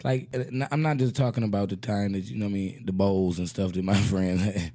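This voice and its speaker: A man with a raspy voice hosting a casual comedic radio show, joking and laughing often in slangy banter. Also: on the low side, steady tone, a little fast, dark tone.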